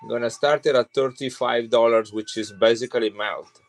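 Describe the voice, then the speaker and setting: A man's voice: mid-range voice, fairly even intonation, normal speed, creaky, faint music behind. Chatty man selling coins. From Italy. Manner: chatty and rambling, with frequent restarts. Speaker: coin seller on a live stream. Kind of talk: casual live sales chat.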